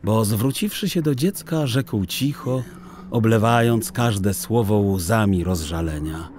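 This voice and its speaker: A man narrating a children's audio story in an expressive, literary storytelling style. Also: lowish voice, takes it slowly, a little hiss or hum.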